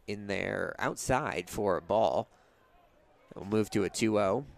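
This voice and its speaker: A man's voice: lowish voice, creaky, dry recording. Young man calling baseball, dry close sound. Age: young adult. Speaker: baseball radio play-by-play announcer. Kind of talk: live play-by-play commentary.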